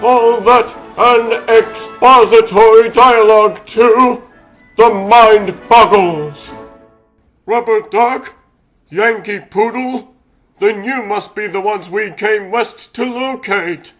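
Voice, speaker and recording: A man's voice: high-pitched, fairly even intonation, slow pace, a bit bright, rough recording. A man voicing a wry rabbit superhero leader in a comic dub, theatrical and sardonic, over music. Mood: exasperated. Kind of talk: comic dub character acting. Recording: faint music underneath.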